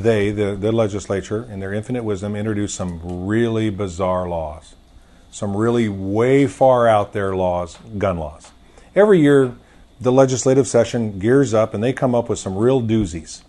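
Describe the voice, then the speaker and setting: A man's voice: medium pitch, darkish tone. A man speaking as an interview guest, telling anecdotes casually in a folksy, emphatic way and acting out dialogue. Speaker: gun store owner, interview guest. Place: inside his gun store.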